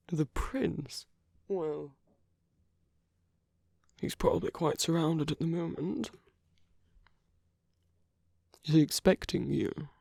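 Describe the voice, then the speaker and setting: A suave masquerade villain, acted out by a performer for a romantic ASMR roleplay, speaks in a husky, breathy near-whisper. The delivery is slow and teasing, with lingering pauses and insinuation. It is recorded very close and dry. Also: mid-range voice, a little mellow, dry recording. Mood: teasing, curious. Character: suave flirtatious villain at a royal masquerade ball. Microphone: close dry microphone.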